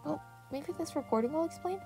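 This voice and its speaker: A woman's voice: sits high, brisk, vocal fry, warm tone, faint music behind, pitched above the performer's own voice. A woman voicing a weary, blunt male teacher in an audio story, with a dry, exasperated manner.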